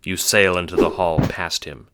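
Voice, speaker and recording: A man's voice: low voice, steady tone, normal speed, fairly bright tone. A man reading dramatic scripted narration for an audio drama, as its storyteller.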